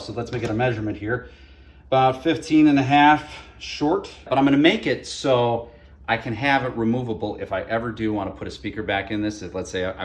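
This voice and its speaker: A man hosting a hands-on project, talking viewers through each step in a casual, folksy, wry way. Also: lowish voice. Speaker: radio morning show host presenting a DIY project. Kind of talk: casual hands-on tutorial narration. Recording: music bed behind the voice. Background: clear background music.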